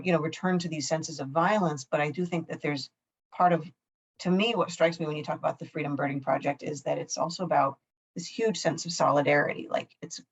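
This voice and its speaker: A woman with a low, husky voice hosts an interview and phrases her questions with care, often searching for the right words. Her audio sounds dull and lo-fi, as from a video call. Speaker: host.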